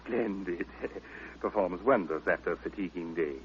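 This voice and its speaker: An actor playing a pompous, unctuous health club doctor, speaking in florid, grandiloquent phrases in old-time radio style. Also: male voice, deep voice, a little slow. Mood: unctuous, grandiose. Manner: grandiloquent, florid, long-worded. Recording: muffled noisy vintage radio recording.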